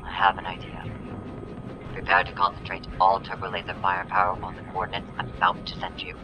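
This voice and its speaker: A man playing a gruff, dry starfighter captain in a sci-fi audio drama. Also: low voice, level tone, fast, muffled recording, noisy background, lightly acted. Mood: determined.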